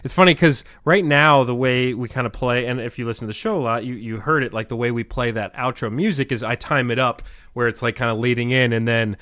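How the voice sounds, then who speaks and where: A man co-hosting a podcast in casual chat, talking loudly and rambling with frequent laughs. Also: medium pitch, quick pace, hardly any pauses, no room sound. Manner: loud, rambling, laughs often. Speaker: podcast co-host.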